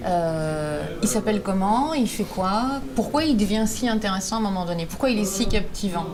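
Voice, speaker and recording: A woman with a mid-range voice leads the interview, warm in tone and quick to jump in. She talks in an emphatic, familiar way. A busy crowd murmurs in the background. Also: mid-range voice, expressive. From France. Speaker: interviewer host. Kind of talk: radio book interview.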